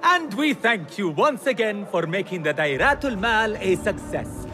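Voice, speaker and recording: A man's voice: high-pitched, very animated, unhurried. An actor playing a flamboyant auctioneer, showy and coaxing as he works a crowd of bidders in a video game. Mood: gracious, grandiose. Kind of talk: theatrical auction patter. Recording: music and crowd murmur behind.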